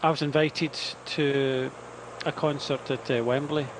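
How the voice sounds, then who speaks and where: A man co-commentating a cycle race, relaxed and rambling, giving rider's-eye tactics. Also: medium pitch, measured pace, background noise. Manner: relaxed, self-deprecating, rambling. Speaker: cycling co-commentator. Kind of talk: live race commentary chat. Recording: commentary over loud race ambience.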